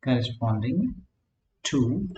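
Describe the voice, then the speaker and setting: Slow, halting male lecturer in an echoey room. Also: mid-range voice, very animated, dark tone, lo-fi sound. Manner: slow, halting delivery in short word groups, frequent "right?" checks. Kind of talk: classroom lecture on circuit design. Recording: echoey room, faint background hum.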